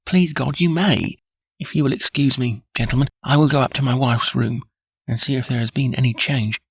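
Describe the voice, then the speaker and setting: A man reading aloud and voicing a distraught husband, pleading urgently in a husky voice. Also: mid-range voice, moderate pace, dry recording, pitched above the performer's own voice. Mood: anxious.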